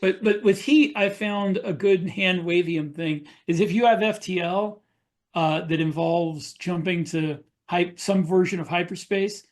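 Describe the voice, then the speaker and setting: High-voiced man chatting casually. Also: steady tone, unhurried. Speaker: podcast co-host and science fiction writer. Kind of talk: casual chat.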